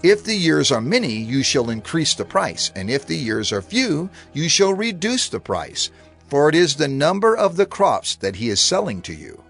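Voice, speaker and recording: A man giving a measured, solemn scripted narration for a dramatized audio Bible. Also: on the high side, big pitch swings, vocal fry, fairly bright tone, soft background music.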